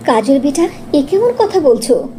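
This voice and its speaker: A woman's voice: high-pitched, very animated, measured pace, warm tone, slight background noise. A woman voicing a firm, caring mother of young girls in a cartoon story. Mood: gentle coaxing.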